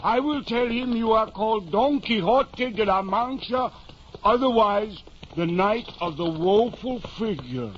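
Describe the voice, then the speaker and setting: On a dull, faintly noisy vintage radio recording, a timid squire with a high-pitched voice. He is plain-spoken and doubtful, hesitant and stammering, yet always deferential to his master. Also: animated, a little slow. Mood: wry, bluntly honest. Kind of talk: 1950 old time radio drama acting.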